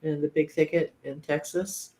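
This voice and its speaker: A man in casual conversation, telling stories haltingly and recalling details piece by piece. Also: fairly high pitch, level tone, mellow.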